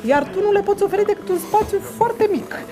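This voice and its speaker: A Romanian woman air traffic controller speaking in a documentary interview. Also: extremely high pitch. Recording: voice under loud music. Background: music.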